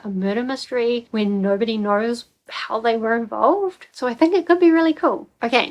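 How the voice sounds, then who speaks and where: A woman's voice: medium pitch, low-quality recording. A young woman with a New Zealand accent, chatting casually about books in a rambling, filler-heavy way. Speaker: book vlogger. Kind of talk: casual book chat.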